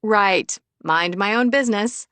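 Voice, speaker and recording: A woman's voice: mid-range voice, a little slow, a bit bright, clean tone, dry recording, lightly acted. A woman voicing a playful, teasing, meddling grandmother in an audiobook. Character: cheeky, meddling grandmother teasing about romance.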